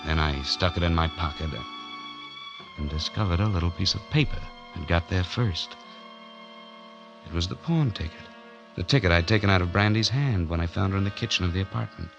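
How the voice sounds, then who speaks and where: A man's voice: sits low, fairly quick, creaky, fairly bright tone. An actor playing a broke, hunted man who narrates his own story in terse, slangy 1940s radio noir style. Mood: tense, weary. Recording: muffled vintage 1940s radio, music underneath.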